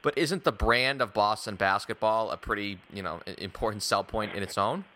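A man's voice: fairly low pitch, brisk, pushed and bright. A man hosting a sports discussion, giving wordy opinions and building long arguments in a conversational way. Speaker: sports show host.

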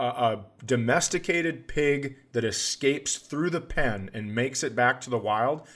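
An American man co-hosting a comedy podcast, riffing in a casual, mock-serious and sweary way. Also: mid-range voice. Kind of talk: casual comic banter.

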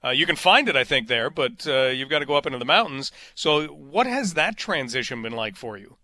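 A man's voice: mid-range voice, expressive, a little fast, bright, forceful tone, dry recording. A man hosting a radio interview, asking earnest, leading questions. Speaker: radio host.

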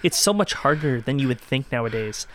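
A man acting a cold, gloating killer who calmly taunts his ex in a dark audio drama. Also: mid-range voice, a little hiss or hum, lightly acted, rough recording. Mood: gloating, casual. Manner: casual, calmly taunting, unhurried menace.

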